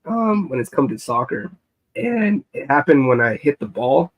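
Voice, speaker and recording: A man guesting on a live-streamed video chat, telling animated stories and laughing often. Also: on the high side, moderate pace, poor audio. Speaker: musician guest.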